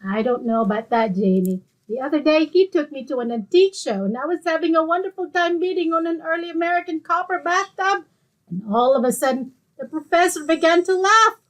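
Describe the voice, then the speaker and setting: A woman's voice: extremely high pitch, animated, fairly quick, poor audio. A woman playing a feisty, gossipy, easily offended middle-aged neighbour in an old-time radio comedy. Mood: indignant.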